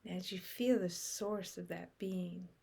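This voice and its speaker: Slow-paced woman guiding a meditation. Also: on the low side, fairly even intonation, gravelly, mellow, slightly rough. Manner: unhurried short phrases with long pauses. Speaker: meditation guide. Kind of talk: guided meditation, unscripted and contemplative.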